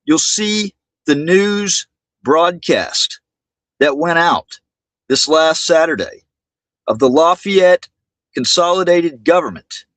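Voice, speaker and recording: A high-voiced man speaks indignantly to his livestream viewers. His delivery is slow and halting, with words often repeated. The sound is close and dry. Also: a bit bright, no room sound. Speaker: livestream host.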